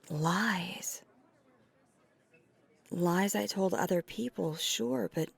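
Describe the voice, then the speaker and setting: A woman playing a tender, flirty vampire in an ASMR roleplay, speaking in a breathy, often whispered voice with earnest, hesitant pauses. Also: fairly low pitch, exaggerated voice. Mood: vulnerable, confessional. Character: flirty, tender female vampire confessing to a date. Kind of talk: soft ASMR roleplay, intimate confession.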